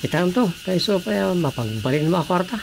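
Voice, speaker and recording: Man playing a practical, higher-voiced male villager. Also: sing-song, fairly quick, creaky, slight background noise, heavily acted. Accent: Ilocano. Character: practical man planning to sell household goods. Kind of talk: Ilocano radio drama acting.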